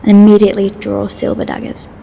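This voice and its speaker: A woman's voice: mid-range voice, completely flat delivery, fast, gravelly, warm tone, slight background noise, poor audio. A woman reading scripted story narration for an audio drama.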